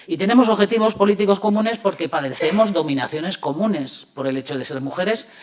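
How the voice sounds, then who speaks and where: Una mujer de voz muy grave habla como ponente en una mesa redonda académica. Defiende sus ideas de forma enfática y argumentativa, con la cadencia de un debate. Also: female voice, low voice. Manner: emphatic, argumentative. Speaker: panelist. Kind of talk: academic panel discussion. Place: university lecture hall.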